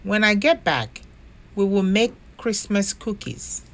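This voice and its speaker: Woman playing a caring storybook woman, gently firm, slow pace, animated intonation. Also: medium pitch. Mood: warm. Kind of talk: picture-book character reading.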